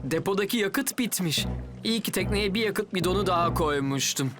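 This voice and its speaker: A man's voice: high voice, animated, fairly quick. A man voicing a practical, loyal, good-humoured seaman in a Turkish cartoon dub, polite and plain-spoken. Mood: easygoing. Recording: music behind the voice.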